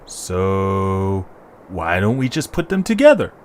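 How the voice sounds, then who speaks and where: A man's voice: low-pitched, very animated, takes it slowly, slight background noise, full character voice. A man playing a good-natured, weary young adventurer with a casual, exasperated manner in a fan audio drama. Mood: casual, thoughtful. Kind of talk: fan audio drama acting with storybook narration.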